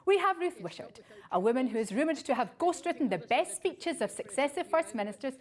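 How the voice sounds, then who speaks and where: Woman, TV presenter, high pitch, very animated intonation, scripted reading. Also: fairly quick. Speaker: TV show presenter. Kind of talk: scripted presenting to camera, reading introductions and messages.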